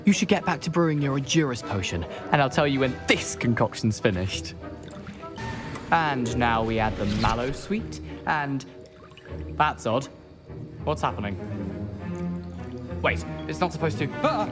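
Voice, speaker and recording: A man's voice: medium pitch, very animated, unhurried, long pauses, over music. A man acting an eager, mischievous teenage wizard in a video game, with a British accent and chummy, persuasive manner. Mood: excited, then puzzled.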